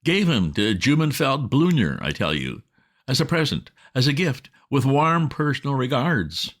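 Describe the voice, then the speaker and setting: A man's voice: mid-range voice, very animated, fairly bright tone, voice pushed up. Guy doing a frazzled posh young gent, squawky outbursts, swoopy voice. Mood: indignant. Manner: exclamatory, indignant, rapid outbursts. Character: flustered young English gentleman, excitable.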